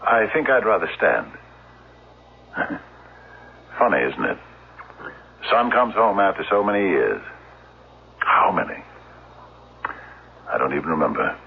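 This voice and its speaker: An actor playing a brooding, unstable grown son in a 1970s radio drama, halting, theatrical and needling. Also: male voice, low-pitched, very animated, creaky, a little hiss or hum, heavily acted. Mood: brooding, bitter. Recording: dull lo-fi old radio recording.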